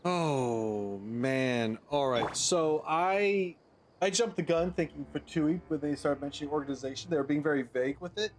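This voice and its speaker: A man's voice: on the high side, normal speed, slight background noise. A man hosting a gaming video, giving casual playthrough commentary and rambling as he thinks aloud.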